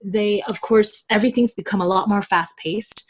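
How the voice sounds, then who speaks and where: Female podcast guest, mid-pitched voice, casual explanatory chat, slightly dull low-fidelity recording. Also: fairly even intonation, moderate pace. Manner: chatty, explanatory, informal. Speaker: podcast guest, web novel translator.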